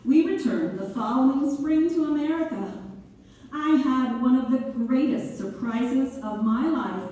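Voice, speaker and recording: A woman playing Mabel, a genteel pianist turned writer, reminiscing in measured theatrical narration. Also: high-pitched, animated, warm tone. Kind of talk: theatrical first-person narration, read-through. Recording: distant mic in very echoey hall, faint noise.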